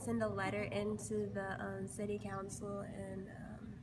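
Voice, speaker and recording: A woman's voice: medium pitch, completely flat delivery, unhurried, far from the mic. A young woman calling as a student, asking questions hesitantly with many fillers. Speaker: student caller. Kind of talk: phone call asking questions. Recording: reverberant room with clear hiss.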